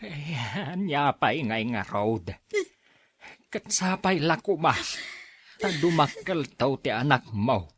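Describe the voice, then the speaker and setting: A woman's voice: sits low, expressive, slow, breathy edge, full character voice. An actress with a Filipino accent playing a worried, reproachful mother in an Ilocano radio drama. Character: worried, reproachful mother scolding her grown child.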